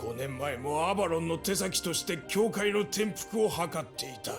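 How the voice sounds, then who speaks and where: A man playing an excitable, accusing church follower in a Japanese visual novel, declaiming like a game voice actor. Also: a little high, fast, vocal fry, faint music behind. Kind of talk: Japanese video game voice acting.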